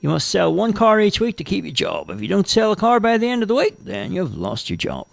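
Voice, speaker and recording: A man's voice: sits high, wildly swooping pitch, a little fast, vocal fry, dry recording, pitched above the performer's own voice, exaggerated voice. A man voicing a matey, no-nonsense male car dealership manager in a told story, blunt but friendly. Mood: impressed, firm.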